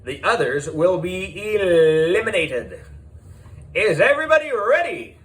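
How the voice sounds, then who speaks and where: Storybook female presenter man acts her out: brash, breezy, announcer-like, highly animated. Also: a little slow, full character voice. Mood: announcing.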